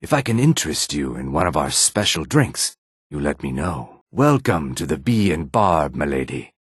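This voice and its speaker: Low husky lizard man merchant for a fantasy game, polite n formal, kinda weary, really dry close recording. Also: sing-song, normal speed, no room sound, heavily acted. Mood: welcoming. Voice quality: raspy, husky, throaty. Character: courteous male Argonian lizard-folk merchant, weary and dignified. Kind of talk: fantasy video game character dialogue.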